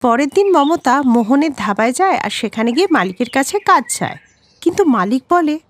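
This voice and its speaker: A woman's voice: high-pitched, big pitch swings, normal speed. A woman reading scripted narration for a story, as a storyteller.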